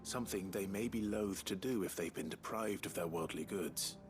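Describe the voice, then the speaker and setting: An actor playing a grave, courteous adventurer in a fantasy game, measured and understated in manner. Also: male voice, deep voice, soft background music, lightly acted. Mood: grave caution. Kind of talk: scripted fantasy game acting.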